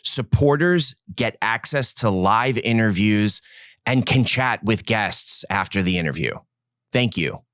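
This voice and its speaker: Brief: male podcast host, low voice, dry close sound, upbeat and encouraging. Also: expressive, a bit bright, dry recording. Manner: upbeat, encouraging, quick asides. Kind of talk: interview and podcast promo. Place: podcast studio.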